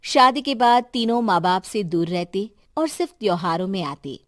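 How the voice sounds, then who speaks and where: A woman's voice: medium pitch, lively intonation, moderate pace, a bit bright, dry recording, clean studio sound. A woman narrating a scripted moral cartoon story.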